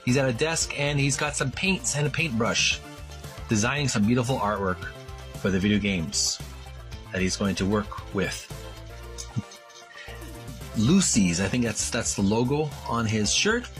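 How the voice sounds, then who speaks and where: A man presenting and reading aloud as a video host, in a deliberate, emphatic way with broken phrasing. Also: medium pitch, lively intonation, fairly bright tone, faint music behind. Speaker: host of a video series on retro game art. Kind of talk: casual presenting and slow, halting read-aloud from a book.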